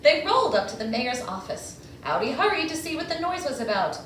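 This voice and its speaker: A woman's voice: high voice, big pitch swings, fairly quick, fairly bright tone. A woman reading a picture book aloud to children, expressively and with dramatic emphasis. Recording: echoey room, distant mic, faint noise.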